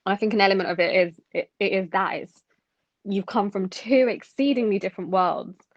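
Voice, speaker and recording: A woman's voice: mid-range voice, moderate pace, no echo. A young woman from London co-hosting a podcast, thinking aloud in casual chat with lots of fillers. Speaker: podcast co-host. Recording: dull dry lo-fi sound.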